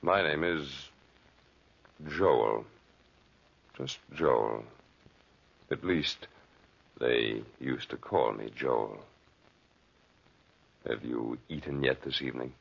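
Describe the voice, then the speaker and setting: A man playing a mysterious backwoods cabin dweller in a 1940s radio drama, ominously polite, slow and deliberate, with a gravelly voice. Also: very deep voice, exaggerated voice. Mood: ominously courteous. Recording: dull lo-fi 1940s radio recording.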